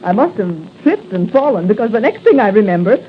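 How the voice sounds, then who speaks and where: An actress playing a plucky, eager young newspaper reporter in 1940s radio style. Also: female voice, mid-range voice, very animated, a little fast, natural voice. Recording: muffled noisy 1940 radio recording.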